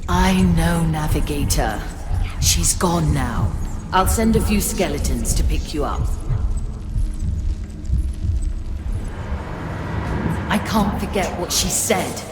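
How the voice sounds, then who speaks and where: A woman's voice: lowish voice, animated, measured pace. A woman voicing an earnest, troubled spirit of a ghost ship in a video game, with a solemn manner. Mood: despondent. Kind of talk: dramatic video game voice acting. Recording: long reverb, music behind.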